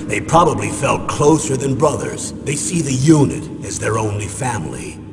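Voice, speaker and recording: An actor playing a stern, middle-aged military commander giving a measured, authoritative briefing in a video game. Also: male voice, mid-range voice, expressive, close to an everyday voice. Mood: serious, explanatory. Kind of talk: dramatic video game voice acting. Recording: long reverb, music behind. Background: music.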